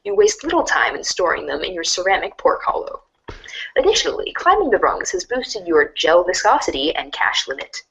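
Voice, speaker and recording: A woman narrating a second-person storybook in an expressive, wry way. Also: lowish voice, brisk, gravelly, rough recording.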